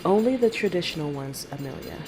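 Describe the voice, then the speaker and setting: An actor playing a middle-aged mother and monster hunter who recounts past horrors in a measured, deliberate audio drama manner. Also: fairly low pitch, animated, over music. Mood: reflective. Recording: faint background noise.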